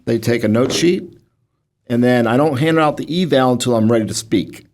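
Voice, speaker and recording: A man with a booming voice, a financial advisor talking as a podcast guest in a lively, goofy, storytelling way. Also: normal speed. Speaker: guest, financial advisor who runs seminars. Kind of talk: casual podcast conversation.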